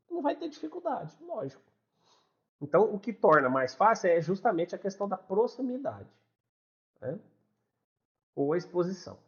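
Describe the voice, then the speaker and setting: A man from Brazil with a Brazilian Portuguese accent, explaining a lesson in a casual, chatty way and checking in with listeners after nearly every sentence. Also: high-pitched. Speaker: English teacher. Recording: dull lo-fi recording.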